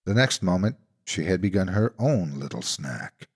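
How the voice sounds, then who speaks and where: A man narrating a horror folktale in an expressive, suspenseful, scripted storytelling style. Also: low-pitched, normal speed, breathy edge.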